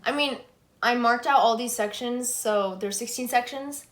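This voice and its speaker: A young woman with a fairly high voice talks casually to her viewers as a vlogger. Her delivery is enthusiastic and conversational, like an informal chat about favorite things. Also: moderate pace, fairly bright tone. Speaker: book vlogger.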